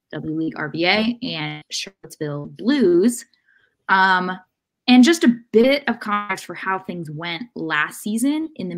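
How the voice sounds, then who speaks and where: A woman in her thirties co-hosting a casual sports discussion over a video call, with a dry sense of humor. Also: fairly low pitch. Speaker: podcast co-host and sports analyst. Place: room at home, on a video call.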